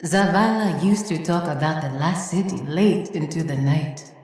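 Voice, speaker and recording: A woman voicing a wise, reflective older guardian in a video game, with a measured, wistful and earnest manner. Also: deep voice, darkish tone, natural voice. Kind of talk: dramatic video game monologue. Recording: very echoey, long reverb tail.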